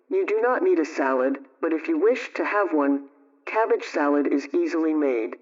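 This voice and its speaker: A man's voice: a little high, darkish tone. A man presenting a homemaking radio program as scripted narration. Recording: distant, dull lo-fi radio sound.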